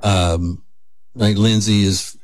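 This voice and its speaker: A man's voice: lowish voice, fairly even intonation, no echo. A man, hosting a casual morning radio show from a studio and drawing out his teasing stories. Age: 50s. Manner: drawn-out, teasing storyteller.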